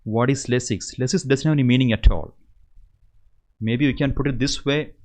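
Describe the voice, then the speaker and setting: A man's voice: mid-range voice, normal speed, darkish tone. A young man from Kerala with a Malayali Indian English accent, hosting a casual livestream and answering viewer questions in an earnest way, often repeating words as he restarts a sentence. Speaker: host of an engineering channel.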